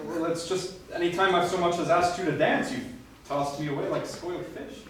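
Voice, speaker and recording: A man's voice: high voice, fairly even intonation, brisk, natural voice. An actor playing a sensible, skeptical young man in stage musical theatre, with a hesitant, wry, flustered delivery. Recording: echoey stage, distant mic, faint noise.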